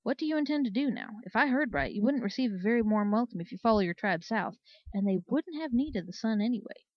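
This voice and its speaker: A woman voicing a courteous male Pegasus commander in a storybook, formal, measured and weary. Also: on the high side, big pitch swings, no echo, highs cut off. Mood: exasperated, formal.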